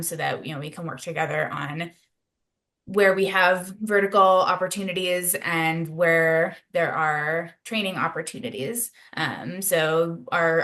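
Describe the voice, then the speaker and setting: A woman presents a webinar on a video call, answering questions in a friendly, measured way with frequent ums. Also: on the low side, pushed and bright.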